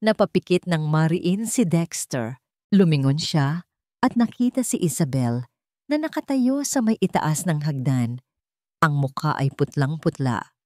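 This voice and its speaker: A woman reads a dramatic romance story as its narrator. Her voice sits on the low side for a woman, and the recording is dry and close. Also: sing-song, a little slow, mellow, no echo.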